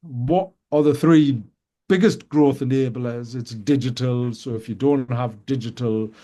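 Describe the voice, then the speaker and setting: A man hosts a live business show from a radio studio. His voice is mellow and warm, and he speaks in an animated way. He often restarts his sentences and throws quick questions to his guests. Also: medium pitch.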